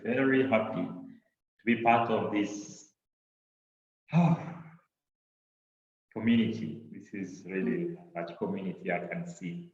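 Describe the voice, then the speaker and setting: Congolese guy giving a talk over a laptop, slow and kinda low, halting with lots of you know, echoey dull sound. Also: flat delivery. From Democratic Republic of Congo. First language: Kiswahili and French. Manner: halting, with many repeated words and "you know". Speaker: presenter. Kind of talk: online talk. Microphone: laptop on a video call.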